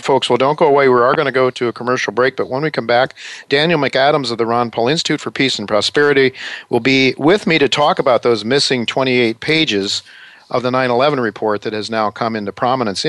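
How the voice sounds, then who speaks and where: A male radio host who talks in long, meandering runs, pausing on fillers and correcting himself as he goes. His voice sits at an ordinary pitch and sounds close and dry, with no room around it. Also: a bit bright, dry recording. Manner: rambling, halting with many fillers. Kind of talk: talk radio interview.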